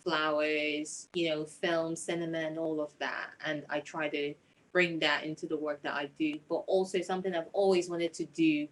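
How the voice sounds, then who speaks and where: Seeking a woman with a Nigerian accent and a slightly low voice to play an interviewed singer-songwriter sharing her story. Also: little pitch movement, moderate pace, poor audio.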